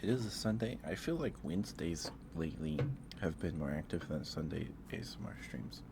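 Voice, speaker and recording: A man's voice: very deep voice, expressive, a little fast, gravelly, very noisy. A man hosting a casual livestream, thinking aloud in a hesitant way. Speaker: livestream host.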